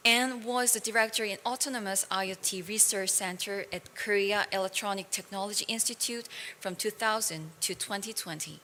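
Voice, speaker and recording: A woman's voice: mid-range voice, sharp tone. Female announcer, formal read-out delivery, reverberant hall. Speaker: event announcer. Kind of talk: formal scripted introduction. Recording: reverberant hall with faint ambient noise.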